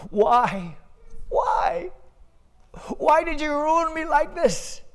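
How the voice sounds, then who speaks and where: A panicky farmer whose voice leaps up high and shrill, crying out in desperate bursts, as a man acts him out in a told folk tale. He sounds frantic and cornered, swinging wildly in pitch. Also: sing-song, drawn-out words, exaggerated voice. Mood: anguished. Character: frightened, desperate male farmer.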